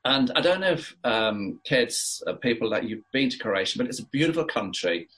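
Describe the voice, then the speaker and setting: A man hosting a podcast interview, talking in a rambling, halting way with many fillers. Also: medium pitch, animated, fairly bright tone, poor audio. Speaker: podcast host. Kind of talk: casual interview.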